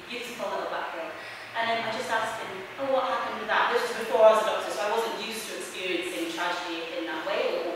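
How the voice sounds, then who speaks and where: A woman doctor with a Birmingham accent, speaking on a panel with many ums in a hesitant, reflective way. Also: medium pitch. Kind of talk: panel discussion. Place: large hall with audience. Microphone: far room camera. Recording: echoey hall from far off, noisy.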